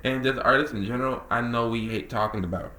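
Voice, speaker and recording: A man of nineteen talks casually and earnestly to camera as a creative director, drawling some words and rushing others. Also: mid-range voice, bright, forceful tone. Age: young adult. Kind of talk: casual talking-head vlog. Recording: echoey room.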